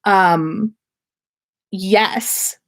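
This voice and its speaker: Slow-paced, slangy woman livestream host. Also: medium pitch, a bit bright. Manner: slangy, swears freely; rambling, effusive. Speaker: podcast host. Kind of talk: casual livestream chat.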